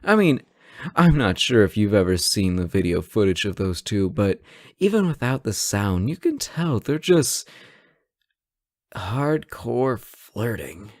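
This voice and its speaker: A man's voice: lowish voice, very animated, unhurried, a little mellow, dry recording, clean studio sound. Guy acting as a sassy male hostage in a superhero audio roleplay, swoops around a lot, takes his time, teasing. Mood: exasperated, teasing. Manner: teasing, theatrical, conversational. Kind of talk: scripted roleplay audio drama, one side of a conversation.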